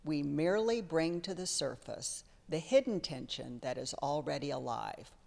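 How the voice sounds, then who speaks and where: A woman's voice: deep voice, expressive, normal speed, vocal fry, darkish tone. A woman, a church member, reading quotations aloud in a solemn, scripted way. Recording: long hall reverb.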